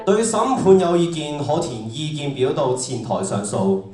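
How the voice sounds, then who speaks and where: A man's voice: a little high, sing-song, moderate pace, darkish tone. A man playing a stern, officious judge in heaven's court in a radio drama. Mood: stern, warning. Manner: officious, authoritative courtroom delivery. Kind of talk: stage radio drama acting. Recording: echoey hall, distant mic, music.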